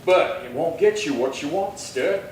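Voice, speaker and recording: A steady radio-Western marshal played by a man speaks with measured gravity. He sounds far from the mic in a strongly echoing hall, reasoning firmly and persuasively. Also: fairly high pitch, lightly acted. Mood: grave, persuading. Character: steady, grave Old West lawman marshal. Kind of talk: Western radio drama acting. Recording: echoey hall, distant mic, faint noise.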